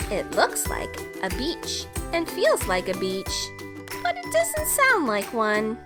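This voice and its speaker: Animated woman reading a children's story. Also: on the high side. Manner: expressive, emphatic, clearly phrased for children. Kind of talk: read-aloud storytelling for young children. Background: children's music.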